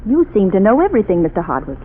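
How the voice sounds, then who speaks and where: A woman's voice: mid-range voice, big pitch swings, racing, breathy edge, phone-quality sound. An actress playing a loyal, earnest young woman companion in a 1930s radio drama, anxious and probing.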